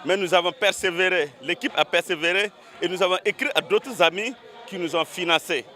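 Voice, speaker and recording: A man answers an interviewer in a steady account that sometimes stalls on repeated words. His voice is bright and slightly high. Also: brisk, some chatter behind. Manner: steady account with some stammering. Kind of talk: news interview.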